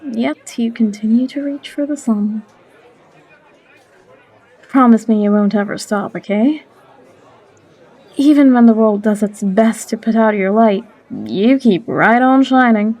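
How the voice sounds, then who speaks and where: A woman acts out a tavern barkeep who tells fortunes in an audio roleplay. Her voice is fairly high and mellow, and she takes her time, warmly teasing and coaxing like an old confidante. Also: some chatter behind, rough recording. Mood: gentle, encouraging. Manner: coaxing, unhurried, calls listener love. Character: Old Red, warm teasing female barkeep and fortune teller. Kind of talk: character audio roleplay acting.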